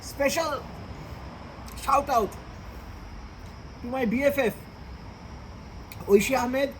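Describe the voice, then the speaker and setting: Bangladeshi man, very high-pitched, joking and profane, casual comedy chat, faint hiss. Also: slow pace. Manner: profane, joking, switches between English and Bengali. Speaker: comedian host.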